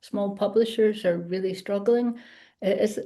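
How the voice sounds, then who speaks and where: A woman's voice: mid-range voice, steady tone, measured pace, dark tone. A middle-aged Scottish woman, a children's author, chatting in an interview, laughing often and poking fun at herself. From Scotland. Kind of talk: casual interview chat.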